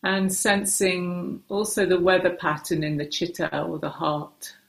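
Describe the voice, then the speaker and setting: Slow, low-voiced woman guiding meditation. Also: steady tone, vocal fry. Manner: unhurried, hesitant pauses between short phrases. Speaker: meditation teacher. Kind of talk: guided meditation, gentle instruction. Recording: roomy, echoing space.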